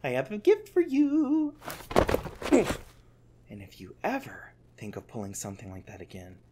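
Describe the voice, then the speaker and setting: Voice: mid-range voice, huge pitch swings, unhurried, warm tone, slight background noise. An actor playing a gleeful sadistic monster captor in an ASMR audio drama, theatrical and taunting with cloying affection. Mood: sweetly threatening. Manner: theatrical, taunting, sing-song pet names.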